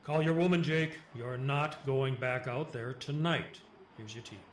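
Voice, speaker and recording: A man's voice: mid-range voice, a little slow, slight background noise, close to an everyday voice. Man playing grave old trapper, roomy sound. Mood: firm. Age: older. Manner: terse, cryptic, folksy. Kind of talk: radio drama dialogue.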